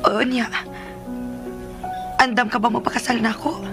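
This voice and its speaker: An actress playing a wary sweetheart in a Philippine radio drama, coy and questioning, with a Cebuano Filipino accent. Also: female voice, on the high side, flat delivery, moderate pace, over music, close to an everyday voice. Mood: tender, anxious. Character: wary sweetheart woman bargaining for marriage.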